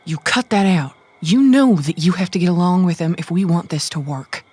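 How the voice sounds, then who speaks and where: A woman's voice: fairly low pitch, animated, normal speed, mellow, breathy edge. A woman playing a sweetly manipulative, maternal schemer in an audio drama, coaxing and controlling. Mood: scolding.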